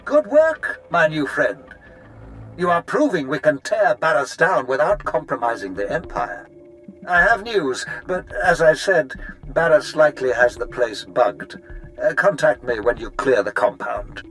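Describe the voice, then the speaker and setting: A courtly older Sith lord speaks in a slightly high voice with wide, swooping inflection. He is pompous, measured and scheming, in video game cutscene style, with faint music behind him. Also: very animated, fairly bright tone, rough recording. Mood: conspiratorial, composed.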